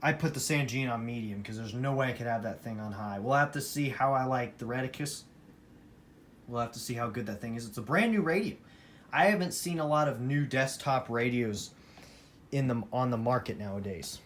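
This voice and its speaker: A man with a mid-pitched voice presents his collection in an off-the-cuff hobbyist style. He leans on points by repeating them for emphasis. The amateur recording carries clear ambient noise behind him. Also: fairly quick, a bit bright. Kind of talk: casual unscripted vlog talk.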